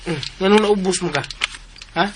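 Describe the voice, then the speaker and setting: An actor with a southern Philippine accent playing a chatty, meddling household helper who stammers and repeats himself in a radio drama. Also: male voice, sits high, moderate pace, noisy background, lightly acted. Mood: scolding.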